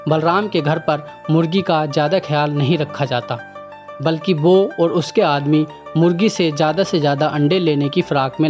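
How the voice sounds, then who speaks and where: A man narrates a storybook tale in a scripted way. His voice sits a little high, and music plays clearly underneath. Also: highs cut off.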